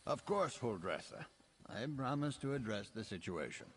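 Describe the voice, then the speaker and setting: Stern old magister, lowish voice. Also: male voice, big pitch swings, background noise. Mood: placating, stern. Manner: formal, measured. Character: stern old magister, weary man of authority. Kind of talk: video game dialogue acting.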